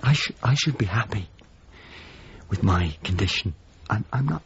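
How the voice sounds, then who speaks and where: A haunted, melancholy painter in a radio play. His voice is low and he speaks reflectively, as if confessing, with music underneath. The sound is slightly dull. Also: expressive, moderate pace, slight background noise. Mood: wistful, troubled. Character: Mario, melancholy haunted painter, a grown man. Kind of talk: naturalistic radio drama acting and monologue.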